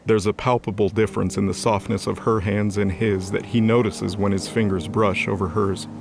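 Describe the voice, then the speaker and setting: A man's voice: fairly low pitch, little pitch movement, moderate pace, vocal fry, background noise. A man narrating a scripted fantasy audio drama as its storyteller. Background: music bed.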